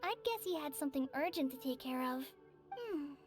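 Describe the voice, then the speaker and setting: An actress voicing a frail young female forest trainee in a game, soft, polite and a little shy, sighing and tired. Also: sits high, expressive, moderate pace. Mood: earnest. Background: faint game music.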